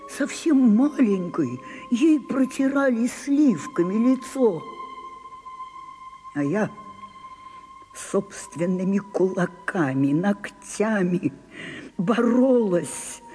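An aging stage star in a radio drama, bitter and turned inward. She speaks slowly and confessionally in a mellow, darkish voice. Soft music plays faintly beneath her. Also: on the low side. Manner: slow, brooding, confessional. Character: aging famous actress, bitter and self-searching. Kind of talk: 1980s radio drama acting.

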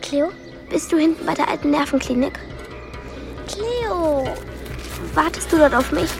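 A woman's voice: high-pitched, lively intonation, measured pace, creaky, music in the background, full character voice. An actress playing an anxious, tender young girl in a radio play, with a coaxing, earnest, childlike manner. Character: anxious, tender young girl devoted to her pet monkey.

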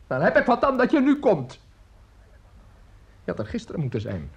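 Bitter old widower, swinging wildly in pitch. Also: male voice, huge pitch swings, breathy edge, highs cut off, full character voice. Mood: bitter, aggrieved. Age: older. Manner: rambling, halting, repeating words. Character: bitter drunken old widower, self-pitying and maudlin. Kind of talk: radio drama acting.

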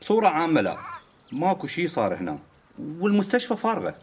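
A man's voice: on the high side, huge pitch swings, rapid-fire, slight background noise. An actor playing a short, stocky, sly Iraqi man in a radio drama, a native Arabic speaker, haggling and matter-of-fact. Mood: informative.

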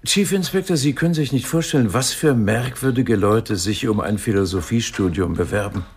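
An actor playing an erudite philosophy professor in a radio drama, donnish, deliberate and wryly amused. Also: male voice, mid-range voice, slightly rough. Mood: fascinated. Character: erudite, fascinated male Cambridge philosophy professor.

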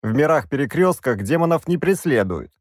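A man's voice: a little high, expressive, brisk, dry recording, clean studio sound, voice pushed up. A man voicing a bloodthirsty brain parasite who speaks in a thoughtful, matter-of-fact way. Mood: scheming.

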